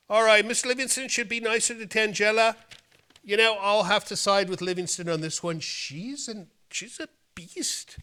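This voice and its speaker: A man with a high, forceful voice works as a show host. He reads viewer mail and banters casually, with a playful, theatrical flair. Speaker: host of a horror movie show.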